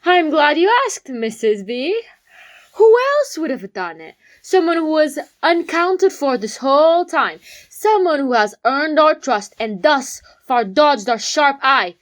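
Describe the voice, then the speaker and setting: A woman's voice: sits high, expressive, unhurried. A woman playing an earnest young amateur detective, explaining a case theatrically in an audio drama. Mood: dramatic, confident.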